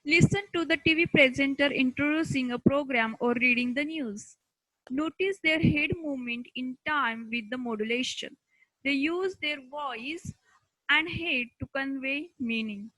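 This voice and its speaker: A woman with an Indian accent giving a scripted lesson as an instructor, speaking deliberately in short, halting chunks. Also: high-pitched, bright, forceful tone, no room sound, low-quality recording.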